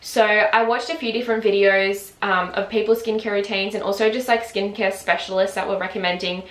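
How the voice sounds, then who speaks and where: A woman's voice: medium pitch, fairly even intonation, a little fast. A woman of twenty chatting casually to camera about her routine at home, using lots of filler words. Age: 20s. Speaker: beauty vlogger. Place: home, just woken up. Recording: roomy echo.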